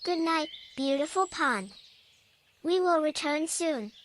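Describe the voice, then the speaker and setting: A performer voicing a gentle young forest animal in a bedtime story, with a childlike voice and simple, deliberate phrasing. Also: high-pitched, lively intonation, full character voice. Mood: gentle, curious. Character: gentle young forest animal friends, slow snail and companion. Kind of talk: bedtime story character dialogue. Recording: nature ambience with birdsong behind. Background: birdsong and forest ambience.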